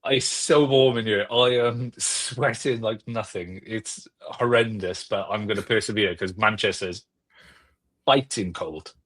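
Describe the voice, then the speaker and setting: A man's voice: on the low side, fairly even intonation, unhurried, fairly bright tone, dry recording. Jokey male podcast guest, dry sound. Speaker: podcast panellist. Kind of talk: casual chat.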